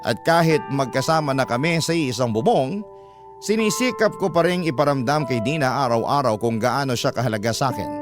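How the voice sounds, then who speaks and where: A man's voice: mid-range voice, brisk, natural voice. An actor playing a devoted boyfriend, telling his love story as radio drama narration with a Filipino accent. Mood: tender, devoted. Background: music.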